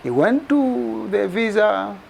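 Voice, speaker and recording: A man's voice: expressive, slow, vocal fry, slight background noise. A man, giving a personal testimony as an immigrant and repeating phrases for emphasis. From Uganda. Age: 40s. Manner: emphatic, repeats phrases, rises in pitch on key details. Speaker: immigrant telling a story.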